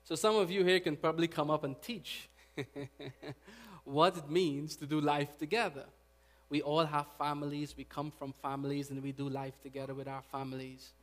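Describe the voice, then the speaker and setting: Preacher, male, Guyanese accent, slightly high voice, prays softly then preaches loud and emphatic. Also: level tone, moderate pace. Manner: rising to loud emphatic preaching, with prayerful soft passages. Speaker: pastor. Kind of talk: sermon.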